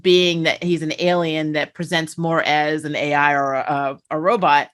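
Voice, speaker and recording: Woman, slightly low pitch, casual review chat, animated storyteller, host. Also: normal speed. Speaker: talk show host and interviewer.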